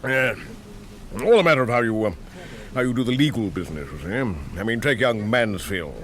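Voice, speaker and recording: An actor in a radio drama playing a vague, gossipy upper-class English gentleman who mutters, halts and clears his throat. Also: fairly low pitch, lively intonation. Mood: gossipy, grumbling. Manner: muttering, halting, throat-clearing, tagging on eh and hmm.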